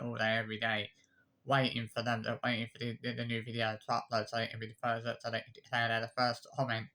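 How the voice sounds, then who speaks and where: A man's voice: mid-range voice, a bit bright. A man, ranting defensively to camera, repeating himself and listing insults. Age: 20s. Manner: repetitive, restarts phrases, lists insults; aggrieved, defensive. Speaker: YouTube commenter. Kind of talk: unscripted rant to camera.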